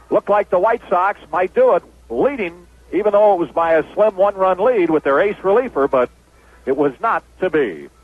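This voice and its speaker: A man working as a baseball announcer, giving a 1970s radio wrap-up of scores and sponsor credits. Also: high voice. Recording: dull lo-fi 1970s radio broadcast.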